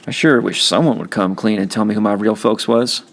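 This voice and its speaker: Cocky teenage boy, read by a man, low gravelly voice, slangy, blunt, tough but caring, audiobook. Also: brisk. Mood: smug.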